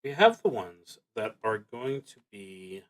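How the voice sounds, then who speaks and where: A man thinking aloud in a halting, deliberating way as he streams a solo tabletop game. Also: on the low side, very animated.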